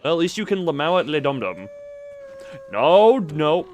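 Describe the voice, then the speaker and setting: A man's voice: fairly high pitch, very animated, normal speed, darkish tone, a little hiss or hum. A man doing casual live video game commentary, rambling playfully to his viewers and repeating himself as he exclaims.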